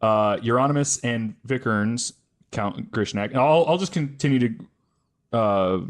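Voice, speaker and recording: A man with an average-pitched voice hosts a podcast, telling a story from his notes. He talks casually and haltingly, stopping to correct himself and work out tricky names. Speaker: podcast host.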